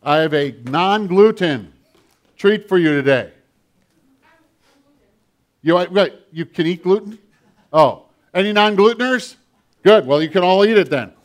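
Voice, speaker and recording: An older man preaching a sermon with a children's message, animated and storytelling with a big voice. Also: sits high, measured pace, pauses often.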